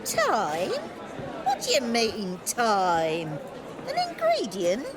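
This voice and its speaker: A woman's voice: a little high, huge pitch swings, drawn-out words, exaggerated voice. An actress playing a puzzled, sceptical woman in a crowd in a video game, questioning and incredulous. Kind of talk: video game dramatic acting. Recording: echoey, distant, loud crowd noise.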